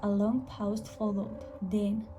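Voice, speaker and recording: A woman's voice: medium pitch, level tone, slow, over music. A woman reading a scripted first-person horror story as a storyteller.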